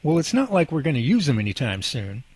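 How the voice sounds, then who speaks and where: Animated, husky young husband played by a man. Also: a little high, fast talker, no room sound. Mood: earnest, persuasive. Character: goofy, teasing young husband, earnest and preachy. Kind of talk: radio drama acting.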